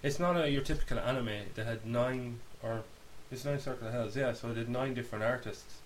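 A man's voice: medium pitch, fairly quick, poor audio. A man giving blunt, opinionated takes on films in casual podcast chat. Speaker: podcast co-host. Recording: hissy background noise.

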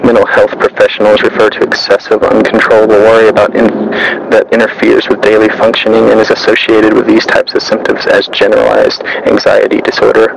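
A man narrating a scripted literary monologue, reciting in a measured, even manner with the odd small stumble. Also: lowish voice, vocal fry, noisy background.

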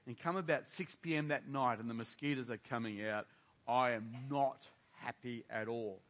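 A man's voice: mid-range voice, moderate pace, a bit bright. An older man, a pastor, leading a prayer and preaching a sermon, talking straight to his congregation and putting rhetorical questions to them. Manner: frequent fillers and restarts.

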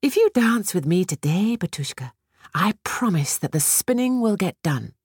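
A woman voicing a graceful, playful female forest fairy in a storybook, soft and coaxing, sometimes whispered. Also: fairly low pitch, sing-song, normal speed, breathy edge, no echo, studio quality, pitched above the performer's own voice.

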